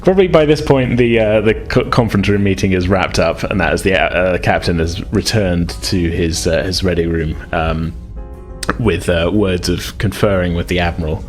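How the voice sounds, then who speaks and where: A man's voice: low voice, moderate pace, faint music behind. A man running a tabletop game as game master, improvising narration in a hesitant, conversational way.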